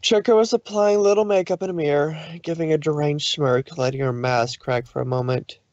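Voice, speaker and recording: A man's voice: on the high side, steady tone, brisk, a little mellow, no room sound. Dull-sounding male story narrator, dry.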